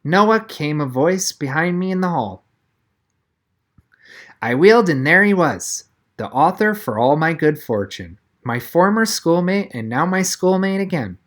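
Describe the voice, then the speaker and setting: A woman narrates a children's novel with very lively, swinging intonation. She reads scripted prose expressively, as a storyteller would. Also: on the low side, measured pace. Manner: animated, storytelling, acts out dialogue. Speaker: audiobook-style reader.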